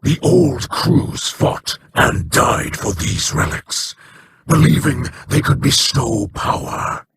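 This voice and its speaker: A grave, solemn alien elder. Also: male voice, expressive, creaky, heavy background noise. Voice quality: low, measured, formal speech. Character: solemn Eliksni male elder, grave and regretful. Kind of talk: video game dialogue.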